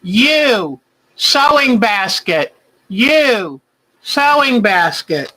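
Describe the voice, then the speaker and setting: Slow, bright-voiced woman calling bingo. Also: a little high, big pitch swings, a little hiss or hum, poor audio. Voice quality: big voice. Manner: calls each clue twice, slow and deliberate. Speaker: host calling a quilting bingo game. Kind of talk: livestream game calling.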